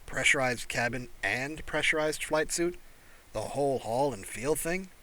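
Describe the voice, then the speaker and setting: A man voicing a small, furry male alien pilot, wry and curious, with a baritone voice, in an audiobook. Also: animated, measured pace, pushed and bright. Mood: enthusiastic, curious. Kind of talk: audiobook character voice.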